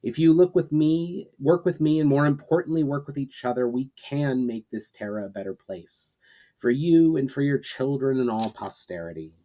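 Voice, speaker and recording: A man voicing an earnest, solemn leader giving a measured, declamatory speech in a storybook reading. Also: mid-range voice, dark tone, close to an everyday voice, rough recording. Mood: hopeful, earnest. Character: earnest, solemn male leader addressing assembled armies.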